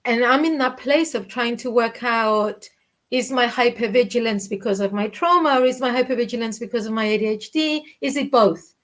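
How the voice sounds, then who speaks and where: A woman in her forties with a Cypriot Greek accent talks over a home webcam call, her voice sitting a little high. She speaks candidly and reflectively, pausing with fillers and restarting sentences as she gathers her thoughts. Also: a bit bright. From Cyprus. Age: 40s. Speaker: guest, children's author. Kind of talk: interview over video call. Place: living room at home. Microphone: video call webcam.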